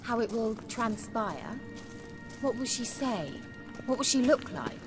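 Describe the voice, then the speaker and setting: A woman's voice: on the high side, very animated, a little slow, over music. A woman playing a confident, commanding sorceress in a video game, assured and wryly teasing. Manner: assured, wry, probing. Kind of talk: video game cutscene acting.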